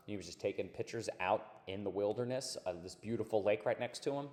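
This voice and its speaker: A man hosting a channel, talking casually and rambling over clips, with a raspy voice that is hoarse from a recent illness. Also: fairly low pitch, brisk, a little mellow. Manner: rambling, laid-back, says dude and man. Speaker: channel host. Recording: hollow tunnel-like echo.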